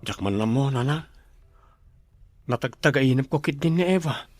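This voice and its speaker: A man's voice: medium pitch, expressive, moderate pace, soft background music, lightly acted. A man playing an anguished grown son worried sick about a missing loved one in a radio drama. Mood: distraught, worried. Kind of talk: Ilocano radio drama acting.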